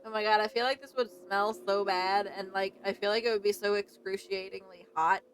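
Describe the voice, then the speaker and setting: A woman's voice: mid-range voice, steady tone, fairly quick, low-quality recording. A woman game streamer giving casual, chatty, exclamatory commentary as she plays. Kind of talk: casual reactive let's play commentary.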